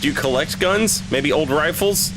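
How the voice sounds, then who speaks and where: A man's voice: fairly high pitch, normal speed, noisy background. A man streaming a game and reading its dialogue aloud.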